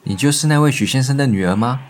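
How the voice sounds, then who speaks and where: A man's voice: medium pitch, fast talker, a little hiss or hum, natural voice. An actor in an audio drama playing a composed, considerate male police officer. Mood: composed, sympathetic. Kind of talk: modern Mandarin audio drama acting.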